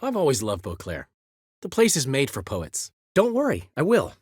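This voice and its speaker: A flamboyant, vain bard, theatrical and wry as he boasts and teases his friends. His pitch swings in a lively way, with a slightly husky grain. The recording is dry and close, with no room sound. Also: moderate pace, dry recording. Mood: showy. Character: flamboyant male bard, vain, charming, talkative. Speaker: game character. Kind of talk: game dialogue voice acting.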